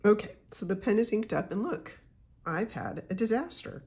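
Congested woman chatting slowly at a desk. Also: medium pitch, animated, slightly rough. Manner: chatty, unhurried, with soft asides. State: congested from a cold. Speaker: YouTube channel host. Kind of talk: casual pen unboxing chat. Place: home desk, indoors.

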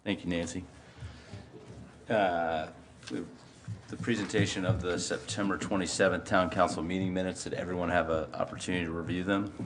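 A low-pitched American man presides over a public meeting. He moves through formal procedure in an unscripted way, recorded in a room with some background noise. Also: little pitch movement, brisk. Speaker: town council president chairing a meeting. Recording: noisy meeting room audio.

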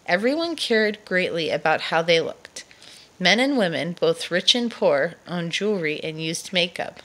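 A woman reading a children's nonfiction book aloud to a child in a measured storytelling delivery. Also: mid-range voice, sharp tone.

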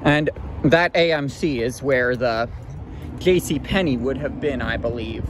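A man's voice: medium pitch, unhurried, noisy background. A man giving casual walking commentary as he explores an empty mall's grounds and loading docks, muttering amazed asides, recorded on a handheld phone. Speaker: urban explorer vlogger. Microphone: handheld phone camera.

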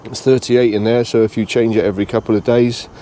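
A British man giving a casual, unscripted walkthrough tour, speaking haltingly and repeating phrases as he goes. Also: mid-range voice, flat delivery, mellow, a little hiss or hum. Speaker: vlogger giving a motorhome tour.

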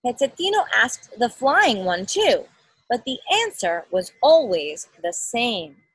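An expressive woman reads a picture book to a young child. Her voice is fairly high and very lively in pitch, with an unhurried pace. She explains and asks simple questions as she goes. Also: husky, no room sound, rough recording. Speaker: parent reading a picture book aloud. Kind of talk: children's storybook read-aloud. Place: outdoors in a backyard. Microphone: phone or laptop at arm's length.

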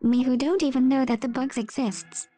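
A woman narrates short scripted captions in a voice-over. Her voice sits high, with a soft, mellow tone that has little edge to it. Faint music plays behind her. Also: steady tone, normal speed. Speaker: meme video narrator. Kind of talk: scripted voice-over reading memes aloud.

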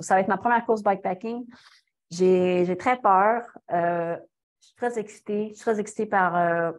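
A woman's voice: on the low side, a little mellow. A young woman with a Québécois French accent, giving a casual talk and answering questions on a video call, chatty, full of fillers and restarts. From Quebec. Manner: chatty, many fillers and restarts, laughs easily. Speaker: guest speaker, cyclist. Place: small office in front of a banner. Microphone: laptop webcam video call.

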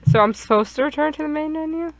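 A woman's voice: sits high, fast talker, gravelly, no room sound. A woman playing a visual novel with friends, reading dialogue aloud and chatting casually. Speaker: player in a group gaming stream.

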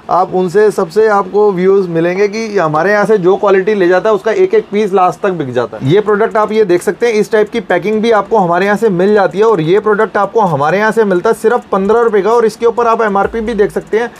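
A man in his thirties, a wholesale shop owner, giving a sales pitch to the camera inside a busy cosmetics shop, listing brands and prices over and over. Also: fairly quick, a little hiss or hum.

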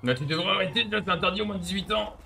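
A man's voice: high-pitched, rapid-fire, creaky. A young man chatting bluntly and provocatively while playing an online roleplay game. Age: young adult. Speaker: streamer trolling in a roleplay game. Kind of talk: casual gaming chat. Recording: faint background game noise.

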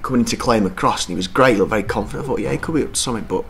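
A young man, co-hosting a casual football podcast and talking in an animated, opinionated way. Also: on the low side, very fast, gravelly, breathy edge. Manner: animated, opinionated, repeats himself when unsure. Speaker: podcast co-host. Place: small studio room with brick wall and curtain. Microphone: desk mic.

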